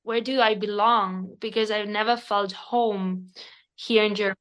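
A woman from Spain with a Spanish accent, a native Spanish speaker in her thirties, talking as a podcast guest in a direct, expressive way with hesitant fillers. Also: mid-range voice, moderate pace, rough recording. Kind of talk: casual interview conversation.

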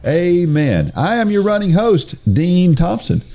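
A man's voice: high voice, very animated, measured pace, mellow. A middle-aged man from New Jersey hosting a faith-based running podcast, talking with a stuffy, congested nose in a folksy, chatty way. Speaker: podcast host. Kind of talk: casual chat and scripted intro.

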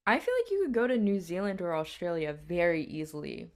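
A woman's voice: on the low side, sing-song, fairly quick, darkish tone. A young woman co-hosting a podcast, chatting casually with lots of fillers and casual swearing. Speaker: podcast co-host. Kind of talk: casual chat.

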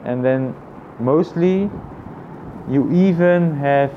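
A man thinking aloud in a casual, reflective personal vlog, with halting phrasing and frequent repetition. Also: on the high side, dull audio, slight background noise. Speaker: vlogger.

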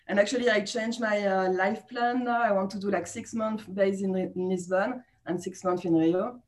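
Woman, 30s, French accent, relaxed chatty guest, medium pitch. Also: moderate pace. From France. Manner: chatty, laughs often, many fillers. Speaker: podcast guest. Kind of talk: casual interview chat.

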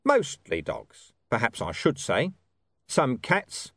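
A man voicing a sardonic, menacing police commander with halting, mock-casual sarcasm in a story. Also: medium pitch, wildly swooping pitch, a bit bright, slightly rough, no room sound, voice pushed up, heavily acted. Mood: sarcastic.

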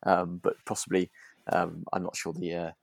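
A man's voice: deep voice, completely flat delivery, moderate pace, vocal fry, no echo. Hesitant British man in casual chat. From United Kingdom. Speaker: podcast co-host.

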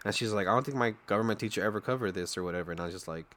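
A man's voice: lowish voice, rapid-fire, fairly bright tone, slight background noise. A man hosting a casual chat as a streamer, laid-back and often laughing as he talks. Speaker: streamer host. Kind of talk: casual conversation.

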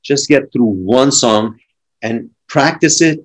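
A middle-aged man from France with a French accent, a drummer chatting casually and telling animated stories full of restarts. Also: mid-range voice, slow pace. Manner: animated storytelling with frequent restarts. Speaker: drummer and podcast guest. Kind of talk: casual conversation.

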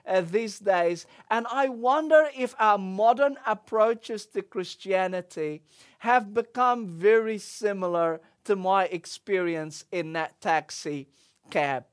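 A middle-aged man, preaching a sermon and telling a personal story, with lively quoting and frequent restarts. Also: high-pitched. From Egypt. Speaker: pastor.

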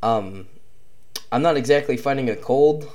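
A man's voice: mid-range voice, a little slow, a little mellow. Young man hosting a rambling podcast. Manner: rambling, many ums and restarts. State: slight cough, chest feels off. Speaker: podcast host. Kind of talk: casual solo podcast talk.

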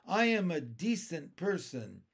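Female spider for a children's tale, male actor: mournful, sighing, wronged, raised pitch, drawn-out pace. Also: sing-song, gravelly, husky, full character voice. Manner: sighing, plaintive.

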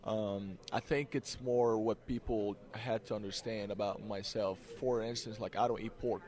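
Soft-spoken young Egyptian man. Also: lowish voice, normal speed. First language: Arabic. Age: young adult. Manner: soft-spoken, laughs often, relaxed. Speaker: college basketball player, guest. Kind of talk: interview.

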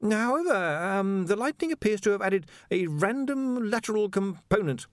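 Man putting on a nervous young wizard voice, high, stammering, hesitant, dry close sound. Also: expressive, normal speed, dry recording. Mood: flustered.

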